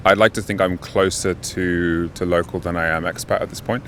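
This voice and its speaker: Deep-voiced man from the UK, measured. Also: steady tone, fairly bright tone, a little hiss or hum. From United Kingdom. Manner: measured, with frequent um and restarts. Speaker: interviewee, expat. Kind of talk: thoughtful casual answers. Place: outdoors on a city street.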